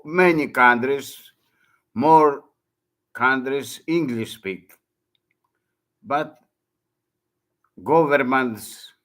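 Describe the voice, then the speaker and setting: An older man from Greece with a Greek accent, speaking halting, broken English as a second language and often repeating words in a monologue. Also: mid-range voice, bright, forceful tone. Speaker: retired merchant mariner.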